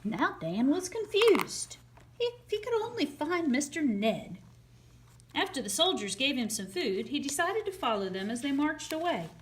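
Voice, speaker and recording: A woman reading a children's picture book aloud as an expressive, dramatic storyteller. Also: high-pitched, moderate pace, slight background noise.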